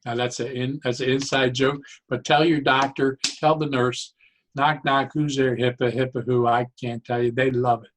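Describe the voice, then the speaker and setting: An older man speaking as a podcast guest, talking conversationally and encouragingly, with deliberate emphasis on key phrases. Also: medium pitch, flat delivery. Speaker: podcast guest, laughter advocate. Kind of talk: conversational interview talk.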